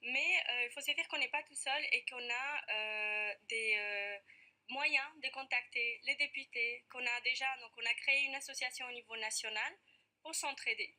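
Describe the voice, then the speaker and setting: Woman guest with an Argentine accent, high bright voice, earnest and hesitant, TV studio. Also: normal speed. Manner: earnest, many hesitations with "euh". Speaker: guest, parent activist. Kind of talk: TV interview answers.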